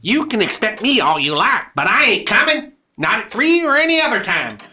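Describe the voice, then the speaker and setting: Sharp, crotchety old western miser. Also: male voice, sits high, sing-song, moderate pace, full character voice. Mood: grumpy, dismissive. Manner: crotchety, scolding, folksy. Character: grumpy old miserly male rancher in the Old West. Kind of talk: radio play acting.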